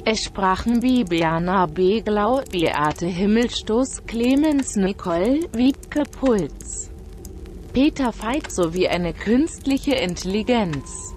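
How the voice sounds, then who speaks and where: Female radio credits announcer, unhurried, mid-pitched delivery over slight background ambience. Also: animated, darkish tone, slight background noise.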